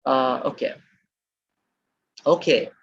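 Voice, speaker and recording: A woman from Maharashtra, teaching a live online Japanese lesson. She repeats key phrases slowly and keeps checking in with the chat. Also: very deep voice, husky. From Maharashtra, India. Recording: dull lo-fi webinar audio.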